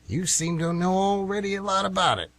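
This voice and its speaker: A man's voice: high voice, expressive, fairly quick, fairly bright tone. A man playing a folksy, nostalgic older baseball fan who rambles emphatically in an amateur radio play. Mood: grudging.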